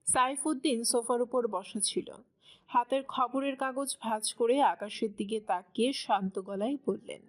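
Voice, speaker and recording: Casting: female narrator for scripted fiction, slightly high register, storytelling delivery. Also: steady tone, measured pace.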